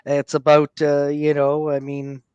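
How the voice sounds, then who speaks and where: A man's voice: on the high side, completely flat delivery, unhurried, a little mellow, smooth, dry recording, muffled recording. Placating man, dry close sound. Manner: placating, hesitant, many fillers. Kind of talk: casual chat.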